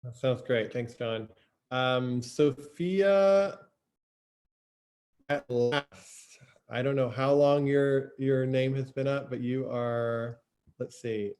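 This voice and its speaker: A male host speaks at an unhurried pace in a friendly, casual way. He guides the livestream over a video call. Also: mid-range voice, steady tone, a little mellow, clean tone.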